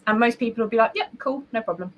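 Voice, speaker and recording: A woman's voice: fairly high pitch, quick pace, highs cut off, slight background noise. A woman speaking on a panel over a video call, persuasive and emphatic with lots of casual fillers. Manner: emphatic, persuasive, uses "like" and "you know".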